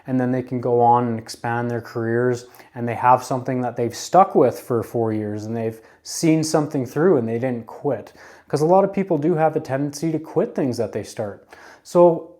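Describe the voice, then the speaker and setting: A man in his late thirties gives career advice in a relaxed, earnest way. His voice is warm and mellow at a middling pitch, and he repeats his points like a coach encouraging young listeners. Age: 30s. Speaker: advice vlogger. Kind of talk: casual advice talk to camera.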